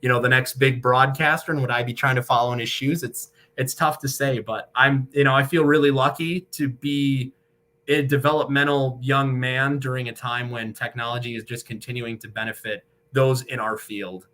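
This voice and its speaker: Bright-voiced young American man. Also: mid-range voice, steady tone, normal speed. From Michigan, United States. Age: 20s. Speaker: sportscaster, interview guest. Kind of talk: conversational interview answers, recounting career.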